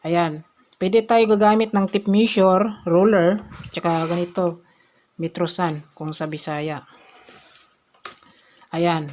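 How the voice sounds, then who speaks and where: A woman with a fairly low voice presents an informal how-to. She talks haltingly, dropping short filler words between the steps. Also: fairly even intonation, a little mellow, slight background noise. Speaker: repair tutorial presenter. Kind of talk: informal hands-on tutorial.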